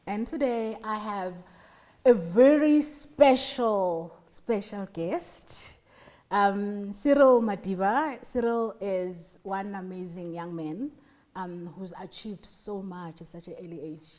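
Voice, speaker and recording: A woman's voice: mid-range voice, takes it slowly, a little mellow. Chatty South African woman hosting. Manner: chatty, teasing, laughs often. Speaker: talk show host. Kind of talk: casual conversational interview.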